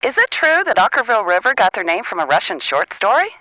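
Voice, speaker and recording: A woman's voice: mid-range voice, very animated, fast talker, sharp tone, no echo, poor audio. An actress playing a curious, cheeky young woman calling in to a radio show in a game. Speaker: radio call-in listener. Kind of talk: scripted game radio call-in.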